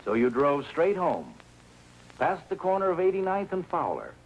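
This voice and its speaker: An actor playing a calm, probing police lieutenant in a 1950s TV crime drama, speaking in a measured, drily sardonic way. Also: male voice, a little high, dull audio, full character voice. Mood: firm, confronting. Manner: measured, dry, probing questioning. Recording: noisy 1950s TV soundtrack.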